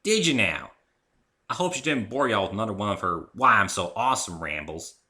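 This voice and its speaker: A man's voice: fairly low pitch, very animated, a little fast, bright, forceful tone, heavily acted. Man voicing a folksy Southern cartoon farm mare. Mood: hearty, folksy. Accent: Southern US country. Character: hearty, stubborn young farm mare, cartoon pony.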